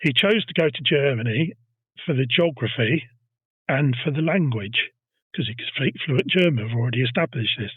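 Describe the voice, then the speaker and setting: A man's voice: mid-range voice, animated, moderate pace, breathy edge, no echo, phone-quality sound. A man hosting a casual history podcast, telling a story and teasing his co-host.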